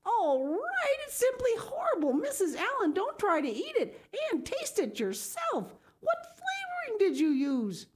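A woman voicing a stern, exasperated older woman guardian, shrill and scolding. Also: huge pitch swings, measured pace, few pauses, full character voice.

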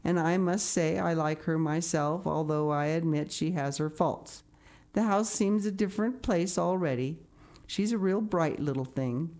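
A woman's voice: low voice, a little slow, a little mellow. Woman voicing a dry, resolute, stern farm woman. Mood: fond. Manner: dry, firm, terse.

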